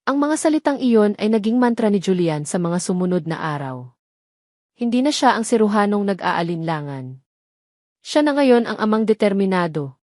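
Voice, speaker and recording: A woman with a Filipino accent reading a romance story as a measured, dramatic narrator. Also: medium pitch, big pitch swings, a little mellow, no room sound, clean studio sound.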